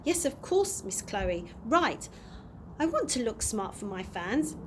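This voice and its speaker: A woman's voice: a little high, very animated, takes it slowly. A woman reading the part of a cheerful, vain male tramp in a storybook, with polite, old-fashioned manners. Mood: delighted, grand. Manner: polite, old-fashioned, grandly self-pleased. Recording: audible background noise.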